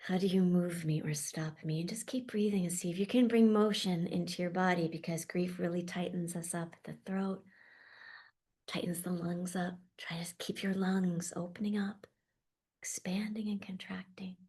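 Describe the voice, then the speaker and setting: Soft-spoken woman guiding a meditation. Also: lowish voice, fairly even intonation, breathy edge. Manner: soft, slow, often half-whispered. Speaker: meditation leader. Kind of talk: guided meditation.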